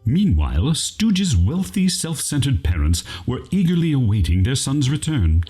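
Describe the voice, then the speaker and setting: Man as dark-voiced, highly animated story narrator. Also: normal speed, soft background music, close to an everyday voice. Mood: storytelling. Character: dry storybook narrator of a parody. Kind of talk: scripted narration in a comic radio play.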